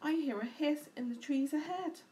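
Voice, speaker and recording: A woman's voice: high-pitched, normal speed, warm tone, distant mic, slight background noise, pitched above the performer's own voice, lightly acted. A woman voicing a big, hungry, gullible monster in a children's storybook, emphatic in delivery. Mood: curious.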